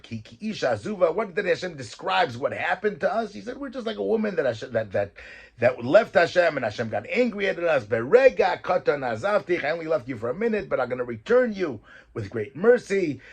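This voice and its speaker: A man, a rabbi, giving a weekly Torah talk, reading verses and explaining them in lively English. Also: a little high, fairly quick, husky. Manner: mixes Hebrew verses with English explanation, animated.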